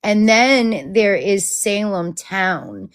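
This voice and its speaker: A woman's voice: medium pitch, lively intonation, extremely slow, clear, resonant tone. Woman, Boston accent, casual group video call, rambling and chatty. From Massachusetts. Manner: chatty, trailing restarts and fillers. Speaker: witch leading a spiritual group.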